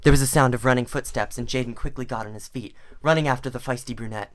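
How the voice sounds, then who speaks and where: A woman's voice: rumbling low, quick pace, no echo. A woman reading fan fiction aloud as an amateur, in a mock-serious dramatic style.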